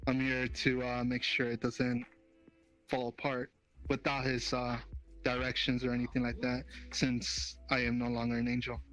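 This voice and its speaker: A man's voice: medium pitch, monotone, normal speed, a bit bright, lightly acted, rough recording. A fallen angel who has pledged himself to humanity, voiced by a man in an online roleplay, speaking earnestly in an everyday middle voice. Faint music sits in the background. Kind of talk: voice-chat roleplay.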